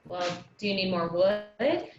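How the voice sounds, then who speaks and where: A woman's voice: medium pitch, slow pace. A woman playing an eager young girl who is keen to help and belong, in a staged play reading. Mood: eager, persistent. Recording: roomy, noisy, muffled sound.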